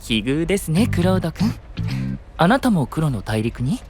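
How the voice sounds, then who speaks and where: An actor playing a composed, faintly playful gentlemanly knight with formal, refined manners in an anime audio drama. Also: male voice, fairly high pitch, very animated, normal speed, music in the background. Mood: courteous.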